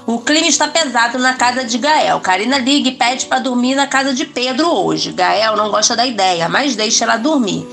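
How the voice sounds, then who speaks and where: A woman narrating soap opera plot recaps in an even, scripted reading. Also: on the high side, a little fast, creaky, few pauses.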